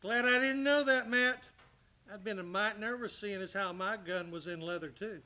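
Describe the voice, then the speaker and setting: Man playing a high, piercing folksy cowboy. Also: moderate pace, pushed and bright. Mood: wry. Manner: folksy, emphatic. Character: loyal, folksy cowboy friend, hot-headed and protective husband. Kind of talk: Western radio drama acting.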